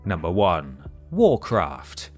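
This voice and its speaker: A man's voice: sits low, wildly swooping pitch, slow, soft background music. A man hosting a countdown video, reading scripted narration with wry, sarcastic asides and emphatic delivery.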